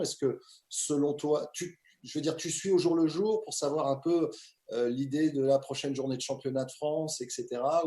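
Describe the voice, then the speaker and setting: A man hosting a casual interview from his home, an emphatic and lively presenter. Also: medium pitch, reverberant. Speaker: host. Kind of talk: casual video-call interview.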